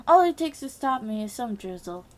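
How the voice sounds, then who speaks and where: A woman doing a shrill, fiery girl warrior. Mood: dejected. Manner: shrill, excitable, quick to shout.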